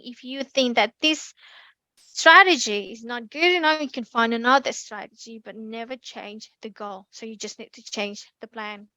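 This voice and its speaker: Bright-voiced Indonesian woman presenting. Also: a little high, no echo, rough recording. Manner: lively, chatty, frequent ums and restarts. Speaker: community speaking presenter. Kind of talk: online class presentation. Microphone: computer mic on a video call.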